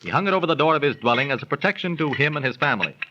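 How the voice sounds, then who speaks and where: An actor playing a knowing storyteller who explains a tale to friends in a 1930s radio drama, on an old recording. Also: male voice, fairly high pitch, animated, rapid-fire, bright, forceful tone, highs cut off, slight background noise. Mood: explanatory. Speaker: narrator.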